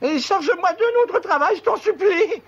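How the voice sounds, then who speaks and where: An actor voicing a small, cowardly, whiny animal sidekick in a cartoon dub, shrill and pleading. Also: moderate pace, dull audio. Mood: frightened.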